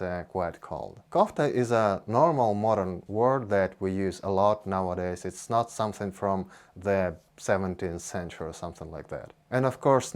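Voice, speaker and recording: A man's voice: fairly low pitch, smooth. A man with a Russian accent, a native Russian speaker, giving an informal explanation in slightly hesitant English as the host of an educational video.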